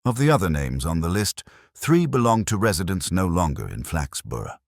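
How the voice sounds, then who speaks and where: Man, very low voice, lively intonation, measured wry mystery narration. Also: no echo, clean studio sound. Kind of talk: scripted mystery audiobook narration.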